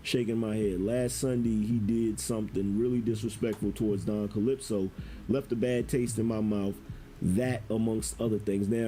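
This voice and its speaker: A man with a dark, relaxed tone gives casual commentary. He tells stories in loose, profane street slang. There is faint background noise on the recording. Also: on the low side.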